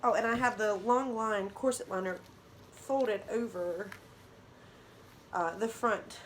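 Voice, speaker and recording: A woman's voice: mid-range voice, takes it slowly, slight background noise. A young woman losing her voice, chatting casually to camera in a rambling way, with lots of ums and restarts. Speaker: vlogger. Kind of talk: casual product talk to camera.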